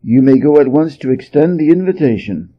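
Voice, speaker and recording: A man playing a stern, aloof celestial emperor in a storybook reading, formal and measured, on a slightly muffled recording. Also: medium pitch, lively intonation, lightly acted. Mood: commanding, calm. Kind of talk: storybook character voice.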